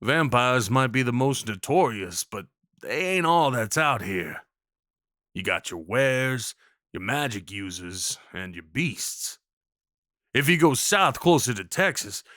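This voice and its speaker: A man's voice: medium pitch, bright, forceful tone, no echo, studio quality. A man playing a friendly, courteous Old West cowboy in an audio roleplay, with a folksy drawl and rustic slang. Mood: matter-of-fact warning. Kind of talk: audio roleplay acting, addressing the listener.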